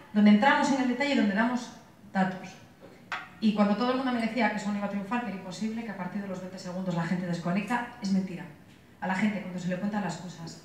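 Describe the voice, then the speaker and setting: A middle-aged woman from Galicia talks on a discussion panel, earnest and measured, often restarting her phrases. Her voice sits on the low side. She is recorded from a distance in a strongly echoing hall, with faint ambience underneath. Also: slight background noise. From Galicia, Spain. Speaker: panel speaker, opposition spokesperson. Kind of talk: panel debate remarks. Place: conference hall. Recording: echoey hall, distant mic.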